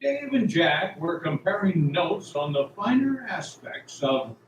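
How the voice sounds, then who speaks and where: A man's voice: high voice, sing-song, measured pace. A man narrating a radio drama from a script. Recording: roomy, distant, faint background noise.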